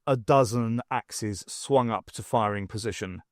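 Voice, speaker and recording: A man narrating a fiction audiobook in an expressive, wry storytelling manner. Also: on the low side, unhurried, dry recording, clean studio sound. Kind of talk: dramatic scripted narration of fiction.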